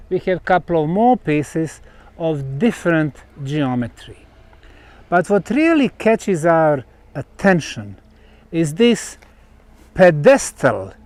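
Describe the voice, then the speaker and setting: Slow, emphatic man with lively pitch. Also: dry recording. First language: Bosnian. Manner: deliberate, emphatic, short broken phrases with dramatic pauses. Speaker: presenter and researcher. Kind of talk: on-location explanatory monologue to camera. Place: outdoors on a granite rock platform.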